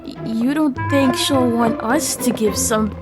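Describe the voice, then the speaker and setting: A woman's voice: on the high side, unhurried, loud music behind the voice, close to an everyday voice. A woman playing an earnest, kind, jittery middle school boy in an audio drama, with hesitant, slangy phrasing. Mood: nervous.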